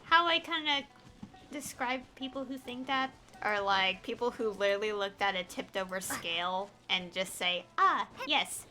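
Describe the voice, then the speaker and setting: A woman's voice: mid-range voice, expressive, moderate pace, bright, forceful tone, faint music behind. A woman game streamer chatting casually and rambling, with an exasperated, opinionated, pleading emphasis. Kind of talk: casual rambling livestream chat.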